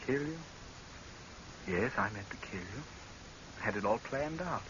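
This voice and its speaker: An actor playing a desperate, resigned doctor turned would-be assassin, earnest and dramatic in 1930s radio horror style. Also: male voice, lowish voice, expressive, a little slow. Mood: bitter confession. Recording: hissy, dull old radio recording.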